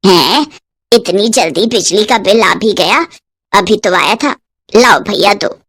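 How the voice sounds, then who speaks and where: A woman's voice: mid-range voice, animated, takes it slowly, a bit bright, a little hiss or hum, voice pushed down. A woman voicing a stingy, scolding middle-aged mother-in-law in a cartoon, with a bossy, penny-pinching way of talking. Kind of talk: cartoon voice acting.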